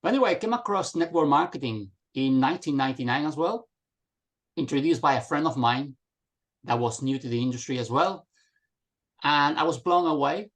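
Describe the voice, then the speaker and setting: A man's voice: a little high, a little fast, fairly bright tone. A middle-aged man from Spain, a guest telling his story on a video call. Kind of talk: casual video call chat.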